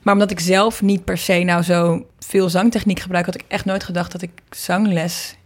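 A woman's voice: lowish voice, level tone, moderate pace. A young woman with a Netherlands Dutch accent, chatting informally in an interview and often restarting her sentences mid-thought. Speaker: interview guest, singer and singing teacher. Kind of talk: casual conversational interview.

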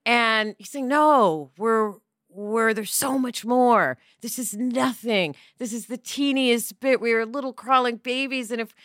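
Woman, middle-aged, podcast co-host, animated, bright tone, fairly high voice. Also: measured pace, no echo, studio quality. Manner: animated, chatty, lots of asides. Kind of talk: casual podcast discussion.

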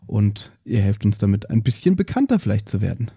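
A man's voice: on the low side, very animated, brisk, vocal fry, dark tone, husky, no room sound, clean studio sound. A man co-hosting a podcast, talking in a casual, chatty style. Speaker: podcast host. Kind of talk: casual chat.

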